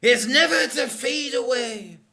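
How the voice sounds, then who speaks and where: A man's voice: very high voice, animated, unhurried, gravelly, bright, forceful tone, heavily acted. A man playing a pompous, wary expedition leader in a live comedy audio drama, recorded in a noisy theatre. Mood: wary, grave.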